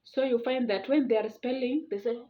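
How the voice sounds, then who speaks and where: A woman presenting an informal explainer, talking haltingly and repeating phrases for emphasis. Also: a little high. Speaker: video presenter. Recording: dull lo-fi audio.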